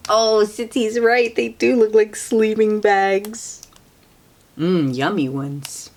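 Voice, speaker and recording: A woman reading a picture book aloud to children as host, expressive and often hushed, with friendly asides to young listeners. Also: medium pitch, slow.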